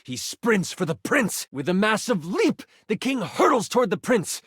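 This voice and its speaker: A man voicing an excitable twenty-sided die in a video game, narrating a fantasy tabletop adventure as a dramatic, expressive game master. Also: sits high, moderate pace, husky, no echo, clean studio sound, exaggerated voice. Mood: dramatic, suspenseful. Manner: theatrical, expressive storytelling with sighs and asides.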